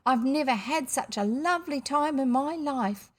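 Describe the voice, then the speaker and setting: A woman voicing an excited, grateful young girl in a children's storybook, breathless and full of wonder. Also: extremely high pitch, measured pace, voice pushed up, exaggerated voice. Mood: breathless joy. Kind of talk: children's storybook character reading.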